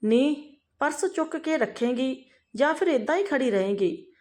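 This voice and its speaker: A bitter, domineering mother-in-law from a narrated family tale, acted by a woman storyteller. The voice is highish and lively in pitch, with a sharp, scolding way of talking. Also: measured pace.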